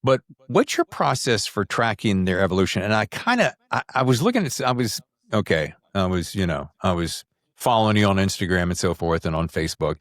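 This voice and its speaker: Low-voiced man hosting a podcast, dry sound. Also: big pitch swings, brisk, no room sound. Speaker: podcast host. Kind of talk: conversational podcast interview.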